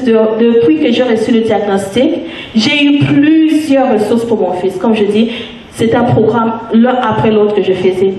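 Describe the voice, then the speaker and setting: A woman speaks earnestly at a live panel, stopping and restarting as she gathers her thoughts. Her voice is mellow and a little high. It rings through a very echoey room, picked up by a mic some distance away. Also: a little hiss or hum. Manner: earnest, halting with many restarts. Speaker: panelist mother. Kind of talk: panel discussion answer.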